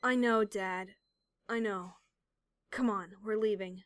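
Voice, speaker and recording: A woman's voice: mid-range voice, steady tone, slow, close to an everyday voice. A woman playing a brave, stubborn young pony adventurer in an audio drama, urgent and full of emotion. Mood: tender, resolute. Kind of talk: audio drama character acting.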